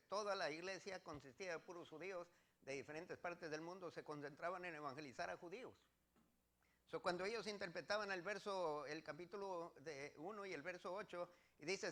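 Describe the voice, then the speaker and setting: Higher-pitched Mexican man preaching. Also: fairly bright tone. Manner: emphatic, repeats phrases, explains step by step. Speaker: pastor. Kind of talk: sermon, teaching from scripture to a congregation.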